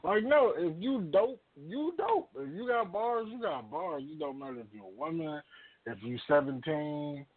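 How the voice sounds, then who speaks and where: Male co-host, lively swooping pitch, emphatic casual delivery, thin telephone-grade recording. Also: moderate pace. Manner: emphatic, repeats phrases for punch. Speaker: radio show co-host. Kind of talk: casual opinionated banter. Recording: thin phone-like audio.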